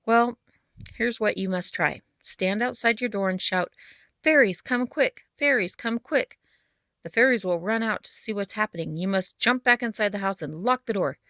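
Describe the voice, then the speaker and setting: A woman reading a storybook aloud, voicing a village wise woman who calmly explains what must be done. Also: medium pitch, moderate pace, no echo, clean studio sound, lightly acted. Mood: advising. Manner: explaining, instructing. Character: calm village wise woman giving advice.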